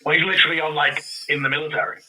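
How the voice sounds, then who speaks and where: A man's voice: a little high, racing, pushed and bright, slightly rough, poor audio. A man speaking as a podcast guest and audio drama creator, chatting casually and rambling through his explanations. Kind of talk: casual interview chat.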